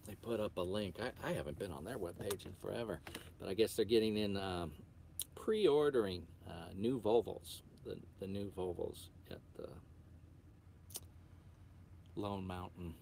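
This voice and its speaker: A man with a fairly low, gravelly voice talks casually to his viewers. He meanders and doubles back on his sentences hesitantly, with clear background noise around him. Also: moderate pace, a little mellow. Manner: hesitant, meandering, self-interrupting. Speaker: truck driver vlogger. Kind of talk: rambling casual chat to viewers.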